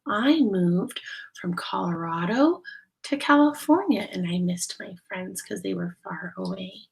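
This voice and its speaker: Female read-aloud teacher: slow, creaky delivery, explains carefully for kids. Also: medium pitch, low-quality recording. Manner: deliberate, explanatory, addressing children. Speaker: teacher reading a picture book aloud to children. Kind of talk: read-aloud storytelling with questions to young listeners.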